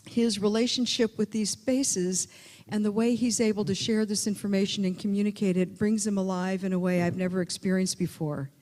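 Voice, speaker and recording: A woman hosting a conference, reading out a measured, admiring introduction of the next speaker. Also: medium pitch, mellow. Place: conference hall. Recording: long hall reverb.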